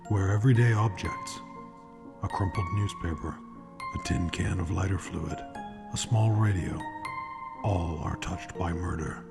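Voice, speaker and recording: Casting: male actor as wry, ominous host of a radio murder anthology, deep and creaky, theatrical pacing. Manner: slow dramatic pauses, ominous, wry. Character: ominous, sardonic male narrator of true-crime murder tales. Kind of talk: old-time radio mystery narration.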